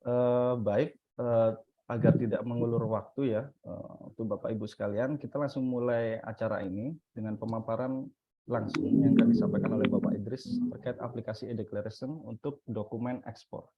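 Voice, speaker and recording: A man's voice: medium pitch, fairly even intonation, moderate pace, no echo. A man hosting a webinar in a semi-formal introductory style. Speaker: webinar host. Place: online webinar call. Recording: dull, lo-fi online call audio.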